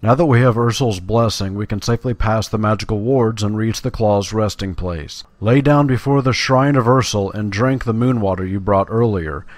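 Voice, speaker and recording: A man's voice: lowish voice, normal speed, hardly any pauses, no room sound, lightly acted. A man reading fantasy game quest text aloud as a grand, formal quest giver. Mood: instructing.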